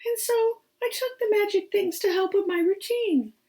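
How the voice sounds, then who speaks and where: A woman's voice: very high-pitched, normal speed, warm tone, pitched above the performer's own voice. A woman voicing a small, ashamed magician's rabbit in a storybook, with a muffled, plaintive, sniffly voice. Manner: plaintive, whispery confessing.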